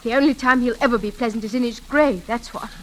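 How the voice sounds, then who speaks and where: An actress playing a headstrong, emphatic young daughter in a 1940s radio drama. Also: female voice, fairly high pitch, fast talker, fairly bright tone, soft background music, natural voice. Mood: indignant. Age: young adult. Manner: emphatic, forthright. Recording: hissy old radio recording.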